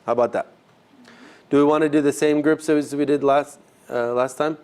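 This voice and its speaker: A man leading an informal teaching session for a group, talking in a conversational, halting way and rephrasing as he goes. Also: fairly high pitch, steady tone, a little mellow, clear, resonant tone. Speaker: ministry trainer teaching a group. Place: live session with a group present.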